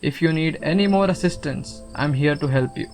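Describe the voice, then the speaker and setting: A man playing a kindly guru in an audio drama, speaking in a gentle, measured, instructive way. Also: on the high side, close to an everyday voice. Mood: reassuring, instructive. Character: calm, kindly male guru counselling a troubled student. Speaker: student actor. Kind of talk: scripted audio drama acting. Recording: music under the voice. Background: music.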